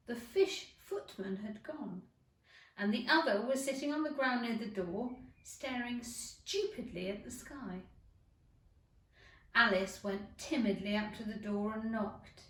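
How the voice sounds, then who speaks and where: A woman giving an expressive storybook narration. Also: mid-range voice. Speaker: teacher reading to schoolchildren. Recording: roomy echo, distant mic.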